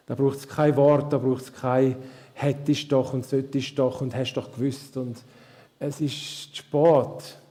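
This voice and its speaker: Swiss german man, preacher, slow unhurried delivery, mellow voice, long hall reverb, questions to the congregation. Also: mid-range voice. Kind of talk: live sermon. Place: church hall.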